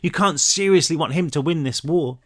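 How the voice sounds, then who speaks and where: A man's voice: a little high, moderate pace, fairly bright tone, pitched above the performer's own voice. A man voicing an earnest, protective man in an audiobook, appealing with urgency and conscience. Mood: gentle, urgent.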